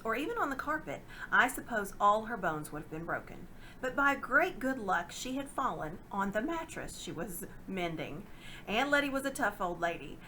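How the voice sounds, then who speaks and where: Woman reading a kids book out loud, dramatic, bright voice, bit of noise behind. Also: mid-range voice, rough recording. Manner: dramatic, expressive. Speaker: storybook reader. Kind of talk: expressive read-aloud of a children's novel.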